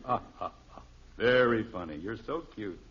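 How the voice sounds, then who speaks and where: A man's voice: lowish voice, animated, moderate pace, fairly bright tone, breathy edge. An actor playing a grumbling, stubborn middle-aged American father, wry and exasperated, in a 1950s radio sitcom. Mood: wry, put-upon. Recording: muffled, faintly noisy old radio recording.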